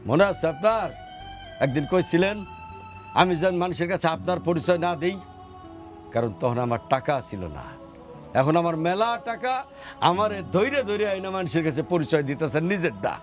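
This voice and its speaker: A man's voice: fairly high pitch, expressive, normal speed, soft background music, close to an everyday voice. A man acting a newly rich, contemptuous father-in-law in a family drama, with a Bangladeshi accent. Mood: mocking. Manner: mocking, contemptuous, needling.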